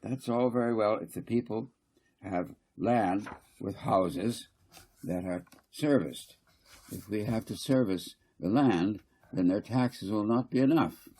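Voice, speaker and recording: A man reading a story, voicing a reasonable but defensive small-town mayor in a measured, questioning way. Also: on the low side, mellow, slightly rough. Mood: objecting.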